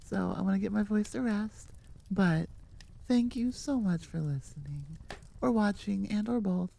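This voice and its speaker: A woman's voice: medium pitch, measured pace, creaky, mellow. A woman who is sick, chatting casually to her listeners in a playful, rambling, self-deprecating way as an audio creator. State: sick with a cold or similar illness.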